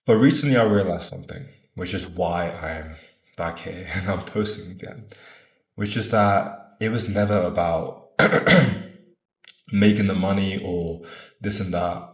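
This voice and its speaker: Deep-voiced young man vlogging in an echoey room. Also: a little slow. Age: 20s. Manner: earnest, rambling, often adds "right?"; casual swearing. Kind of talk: casual talk to camera. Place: room in Bogota.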